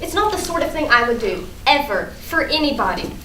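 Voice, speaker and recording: A woman acting a proud, blunt, guarded high school girl with an emphatic, dry manner in a staged play reading. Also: very animated, fairly quick, fairly bright tone. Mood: scornful. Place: indoor room at a staged reading. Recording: roomy, distant mic, faint noise.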